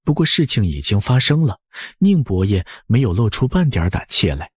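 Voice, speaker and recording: Guy reading an audiobook, really husky, animated pitch, scripted dramatic narration, dry sound. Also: normal speed, dry recording.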